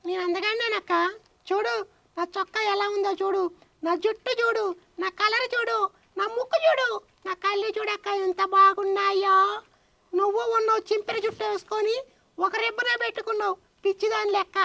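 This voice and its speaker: Lady doing a super high squeaky little boy puppet, cheeky n whiny, bit croaky, always arguing. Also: fairly even intonation, fairly quick, sharp tone, heavily acted. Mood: boastful, indignant. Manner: whiny, argumentative, boastful. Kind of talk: children's puppet show dialogue.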